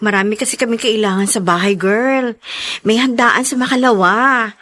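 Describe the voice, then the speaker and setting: A woman's voice: on the high side, moderate pace, fairly bright tone, lightly acted. A woman playing a chatty, teasing married friend in a Filipino radio drama.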